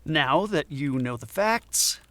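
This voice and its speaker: A man's voice: on the high side, animated, slow pace, a bit bright. A man playing a passionate, earnest anthropology professor in his late thirties in an audio drama. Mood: passionate, instructive. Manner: earnest, emphatic lecturing. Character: passionate, frazzled 39-year-old male anthropology professor.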